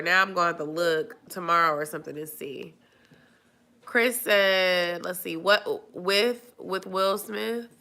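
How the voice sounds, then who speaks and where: A woman's voice: mid-range voice, sharp tone. A woman chatting casually on a live stream, nasal and stuffy from a cold, rambling with lots of fillers. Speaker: vlogger answering live chat.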